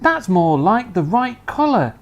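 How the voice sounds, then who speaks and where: A reader voicing George, a calm and earnest boy in a storybook. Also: mid-range voice, measured pace, dull audio, voice pushed up.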